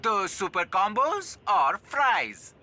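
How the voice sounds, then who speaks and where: A woman's voice: medium pitch, very animated, slow, bright, forceful tone, heavily acted. A woman playing a mocking young customer in a dubbed cartoon.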